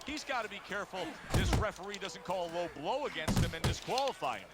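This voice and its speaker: A man playing an excitable TV boxing play-by-play announcer in a video game, making punchy, emphatic calls. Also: high voice, fast talker, heavy background noise. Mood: excited, emphatic. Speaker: sports commentator. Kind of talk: live boxing commentary.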